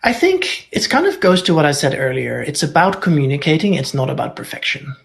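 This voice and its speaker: A man's voice: fairly high pitch, wildly swooping pitch, brisk, gravelly. A man who grew up in Norway, a native Dutch speaker talking in English as a guest expert, candid and thinking aloud with wry asides. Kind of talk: casual conversational interview.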